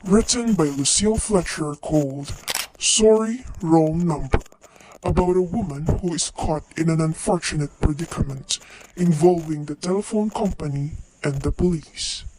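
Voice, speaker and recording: A man's voice: fairly high pitch, normal speed, noisy background, lightly acted. A man playing a sinister, irritable, pompous host who introduces an old-time radio thriller in a dramatic manner. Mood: ominous.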